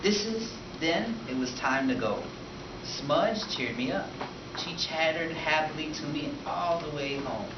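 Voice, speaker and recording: A man's voice: on the high side, a little slow, natural voice. A man voicing a weary, down-on-his-luck working-class father in a plain, downbeat storybook read-aloud. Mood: weary, wistful. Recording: distant echoey room, noisy, dull.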